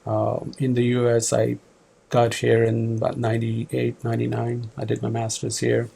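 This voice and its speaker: Man, 40s, from Pakistan, interview guest, halting speech, husky, gravelly. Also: mid-range voice, monotone, warm tone. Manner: halting, many fillers and restarts. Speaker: guest, department manager. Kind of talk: casual interview answers. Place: office.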